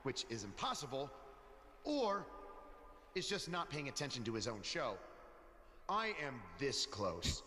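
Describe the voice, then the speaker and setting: A man's voice: medium pitch, very animated, unhurried, long pauses, pushed and bright, slightly rough, full character voice. An actor playing an arrogant, indignant male pro wrestler, sneering and emphatic in a video game promo. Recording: echoing arena sound, slight noise.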